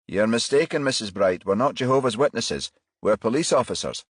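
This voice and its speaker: A man voicing a calm, polite but firm police detective in audiobook dialogue, courteous and probing. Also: medium pitch, brisk, slightly rough, dry recording, clean studio sound, pitched above the performer's own voice.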